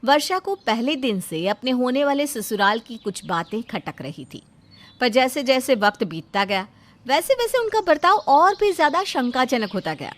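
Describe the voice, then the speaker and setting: A woman tells a scripted family story. Her voice is fairly high, and she delivers it as a storybook narrator. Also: big pitch swings, normal speed.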